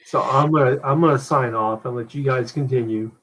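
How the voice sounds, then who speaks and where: Husky-voiced man chatting casually. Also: mid-range voice, a little fast, a bit bright, rough recording. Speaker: guest on a call. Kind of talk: casual chat.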